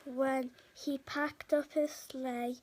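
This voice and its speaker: A woman's voice: sits high, little pitch movement, darkish tone. A woman reading a children's storybook aloud as a podcast host, stumbling and repeating words now and then. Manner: halting, stumbling reader who repeats words. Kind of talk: read-aloud storybook narration.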